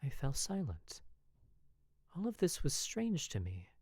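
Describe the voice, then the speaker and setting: An expressive, measured narrator reading a first-person dramatic story. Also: medium pitch, husky, no echo, clean studio sound. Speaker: podfic narrator.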